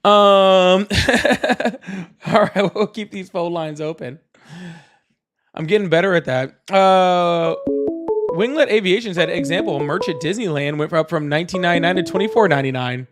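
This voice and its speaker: A man with a slightly high voice hosts a live call-in debate show. He chats casually, reads out viewer messages and turns dryly sarcastic and dismissive when he deals with callers. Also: moderate pace, music in the background. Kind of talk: casual political debate and reading out super chats.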